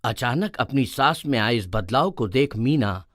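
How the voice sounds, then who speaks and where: Animated man narrating a story. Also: mid-range voice, unhurried, dry recording, studio quality.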